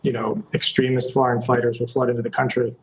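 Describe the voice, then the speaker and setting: A man's voice: mid-range voice, level tone, fast talker, highs cut off. A man, a journalist guest, answering firmly and directly. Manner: firm and direct. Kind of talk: interview answers.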